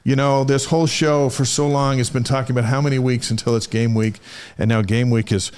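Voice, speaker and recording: A man's voice: mid-range voice, fairly even intonation, fairly quick, a little mellow, smooth. A man with an American accent hosting a sports talk show in a casual, conversational style. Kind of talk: casual sports talk with live chat shout-outs.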